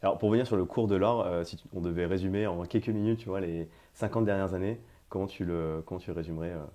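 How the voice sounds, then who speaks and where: A man's voice: low voice, quick pace, dark tone. A man hosting a podcast interview, chatty and informal, with many hesitations and filler words as he asks questions. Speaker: podcast host.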